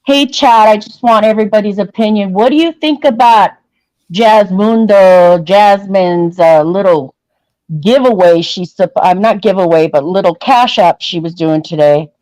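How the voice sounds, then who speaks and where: A woman co-hosting a livestream who talks bluntly and curses freely in casual gossip chat. She also reads viewer comments aloud. Her voice sits at an ordinary pitch with a dry, close sound. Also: normal speed, darkish tone, dry recording, rough recording. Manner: blunt, profane, ranting. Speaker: livestream co-host.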